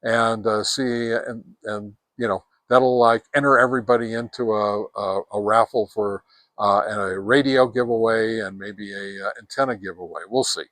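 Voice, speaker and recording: A man's voice: lowish voice, fairly even intonation, moderate pace, fairly bright tone, no room sound. A man hosting a casual hands-on gear review outdoors, talking in a folksy way and repeating words for emphasis. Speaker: product reviewer and host. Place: outdoors on a deck.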